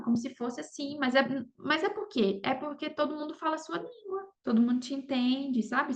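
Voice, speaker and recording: A woman's voice: sits high, vocal fry. A Brazilian woman speaking Portuguese as a podcast guest, telling her story casually and often seeking agreement with little tag questions. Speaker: podcast guest, affiliate marketer. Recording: dull lo-fi audio.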